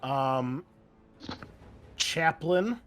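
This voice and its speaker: A man's voice: on the high side, lively intonation, extremely slow, a bit bright, clean tone. A man running a tabletop game, narrating action dramatically in casual, profanity-laced speech. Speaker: game master.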